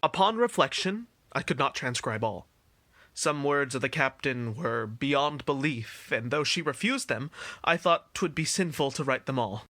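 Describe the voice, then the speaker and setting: A man's voice: medium pitch, expressive, unhurried, pushed and bright, dry recording. A man playing a dutiful, discreet household servant in a period audio drama, formal, deferential and earnest. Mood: grave reporting. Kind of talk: period stage-play audio drama acting.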